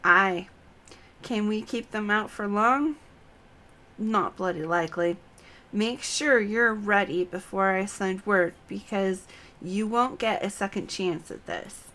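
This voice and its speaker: A woman voicing a blunt, wry, rough-spoken common woman in a fantasy game. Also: mid-range voice, slow, pushed and bright. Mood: wry, firm. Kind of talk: read-aloud fantasy game dialogue.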